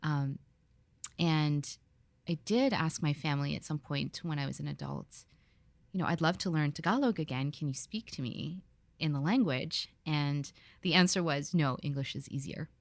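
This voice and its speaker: A woman from the Philippines hosting a podcast interview. She talks in a reflective, relaxed way, with fillers and restarts. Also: sits low, moderate pace, no echo. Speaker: podcast host. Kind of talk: conversational interview.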